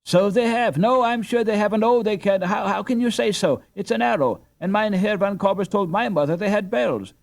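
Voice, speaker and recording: A man voicing excited, squabbling schoolchildren in a storybook, excitable and insistent. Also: high voice, normal speed, vocal fry, hardly any pauses, voice pushed up. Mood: excited, arguing.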